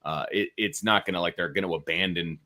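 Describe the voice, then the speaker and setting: A man's voice: sits low, a little fast, pushed and bright. An American man co-hosting a sports talk show, giving casual analysis and informally talking through his reasoning.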